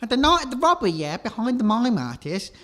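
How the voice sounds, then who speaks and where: Dim whiny jobless lad on trial, comedy sketch, high voice, very bouncy. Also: male voice, sing-song, fast, fairly bright tone, heavily acted. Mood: eager. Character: hapless, naive jobless young man on trial. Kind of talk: comedic radio sketch acting.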